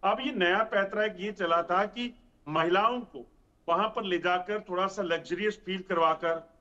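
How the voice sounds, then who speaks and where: A man's voice: high-pitched, unhurried, bright, forceful tone, lo-fi sound. A man giving explanatory commentary as a news panel guest, laying out his points step by step.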